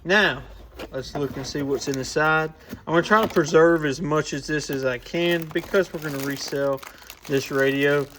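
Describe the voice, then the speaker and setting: A man's voice: a little high, normal speed, a bit bright, a little hiss or hum. A man hosting an informal, off-the-cuff product review, thinking aloud as he goes. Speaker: host of a radio shop's review channel. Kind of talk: casual hands-on product review.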